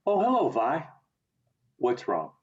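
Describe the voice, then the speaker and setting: Muffled call audio carries a kindly, plain-spoken small-town man from a radio play, acted by a man. His voice is husky and folksy, with very animated pitch. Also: slow, full character voice. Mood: warm concern. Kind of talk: community theatre radio play acting. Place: home, over video call.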